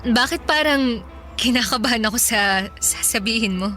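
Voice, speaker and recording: A woman's voice: on the high side, moderate pace, sharp tone, soft background music. An actress playing a hurt, anxious young girlfriend with a Filipino accent, speaking hesitantly in a radio drama. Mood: nervous.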